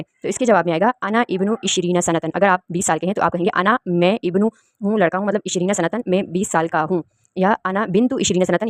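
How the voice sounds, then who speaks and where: A woman's voice: fairly low pitch, no room sound. A woman from Pakistan, teaching an Arabic lesson and patiently explaining each word. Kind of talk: spoken language lesson.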